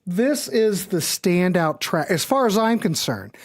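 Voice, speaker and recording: A woman's voice: fairly low pitch, very animated, a little slow. Folksy woman hosting a music show. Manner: folksy, chatty, thinking aloud. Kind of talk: casual off-the-cuff record show chat.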